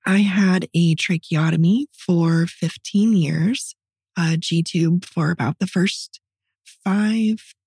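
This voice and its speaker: A woman with a strongly raspy, rather low voice tells personal stories on a podcast. Her tone is dark and mellow, and her delivery is candid and reflective, in a conversational style. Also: takes it slowly, no room sound. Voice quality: raspy voice from partly paralyzed vocal cords. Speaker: podcast co-host. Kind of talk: personal storytelling in casual podcast chat.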